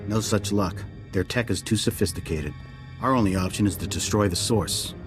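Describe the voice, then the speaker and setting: A man's voice: fairly low pitch, normal speed, lightly acted. Grave intelligence director, played by a man, music underneath. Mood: earnest briefing. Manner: clipped, earnest, briefing. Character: grave, urgent male military intelligence director. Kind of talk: video game voice acting.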